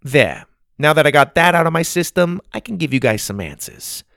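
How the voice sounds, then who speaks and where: Man putting on a feisty, high-pitched old grandma. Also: big pitch swings, normal speed, gravelly, a bit bright, dry recording, clean studio sound, exaggerated voice. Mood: brisk. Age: older. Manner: exclamatory, teasing, bossy. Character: feisty indignant old grandmother, sharp-tongued adventurer.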